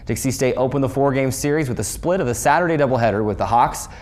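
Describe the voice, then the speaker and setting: A man's voice: medium pitch, fast talker, a little mellow. Male sportscaster reading a scripted recap. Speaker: sports show host and play-by-play voice.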